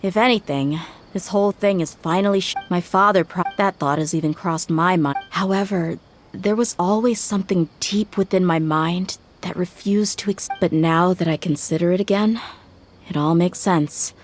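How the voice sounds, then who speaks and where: A woman as a low-voiced, reflective young mercenary. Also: normal speed, soft background music, lightly acted. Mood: reassuring, reflective. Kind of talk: anime video game voice acting.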